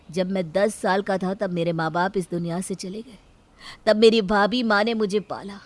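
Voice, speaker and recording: A woman's voice: fairly high pitch, a bit bright, no room sound. A woman voicing an indignant young husband in a story, fiercely loyal, scolding and emphatic.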